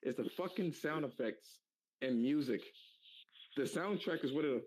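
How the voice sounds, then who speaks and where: Animated profane man chatting about games. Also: on the high side, moderate pace, low-quality recording. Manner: animated, profane, acts out quotes. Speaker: podcast co-host. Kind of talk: casual gaming chat.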